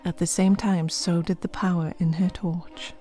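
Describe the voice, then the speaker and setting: A woman's voice: lowish voice, level tone, moderate pace, warm tone, faint music behind. A woman narrating scripted comedy horror, with wry, exasperated asides to the listeners.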